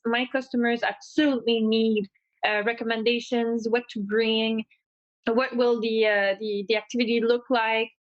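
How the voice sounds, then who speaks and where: A French-speaking woman from Quebec with a Québécois accent, a tour operator who asks thoughtful questions with many pauses and fillers. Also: a little high, no echo. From Quebec, Canada. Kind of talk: asking questions in a podcast chat. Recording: dry, dull-sounding mic.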